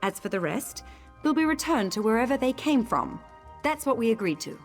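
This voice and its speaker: A woman's voice: a little high, sing-song, moderate pace. An imperious elf noblewoman. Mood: indignant. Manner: imperious and indignant. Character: proud indignant female elf noblewoman, imperious. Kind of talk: video game voice acting. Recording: game audio with faint music.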